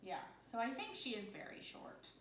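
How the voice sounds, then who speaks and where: A woman with a fairly high voice reads a storybook as a teacher would to young children. She keeps her phrasing simple and pauses to ask questions. The room is echoey, with a little noise behind her. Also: big pitch swings, fairly bright tone. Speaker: elementary teacher. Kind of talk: interactive picture-book read-aloud with questions.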